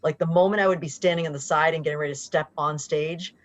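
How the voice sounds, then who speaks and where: Quick-talking woman, emphatic storyteller. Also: deep voice, fairly even intonation. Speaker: stage actress. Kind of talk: casual chat.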